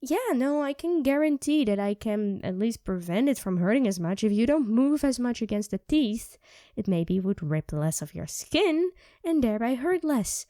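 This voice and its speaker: A woman voicing a sardonic, teasing female vampire who mocks and coaxes in an ASMR roleplay, dropping into soft whispers, close to the mic. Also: on the high side, sing-song, normal speed, no room sound. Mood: coaxing.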